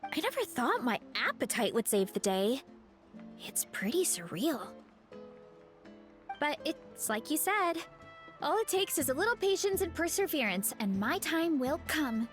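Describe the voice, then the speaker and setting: A woman playing a sweet, modest, earnest young woman in an anime game, speaking in a gentle, hesitant way. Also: high-pitched, sing-song, unhurried. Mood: hopeful. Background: game music.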